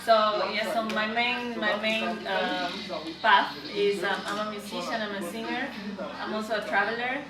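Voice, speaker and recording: A woman's voice: mid-range voice, steady tone, moderate pace, few pauses. Female interview guest, Argentine accent, native Spanish speaker, conversational; recorded at a distance with chatter behind. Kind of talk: casual interview answers. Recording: echoey, distant mic, background chatter.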